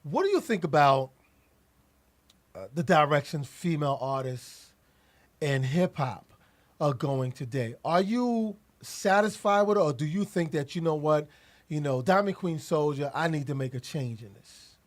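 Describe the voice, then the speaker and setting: A man's voice: fairly high pitch, lively intonation, measured pace. A man interviewing an artist, asking long questions with frequent restarts and repeated words.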